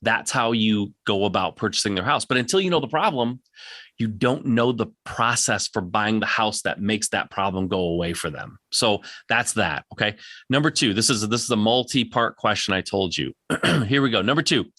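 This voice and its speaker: Forceful, emphatic man coaching. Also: on the low side, lively intonation, moderate pace, dry recording, clean studio sound. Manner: emphatic, insistent, repeats points; direct, plain-spoken. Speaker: podcast host answering audience questions. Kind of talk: live Q&A coaching.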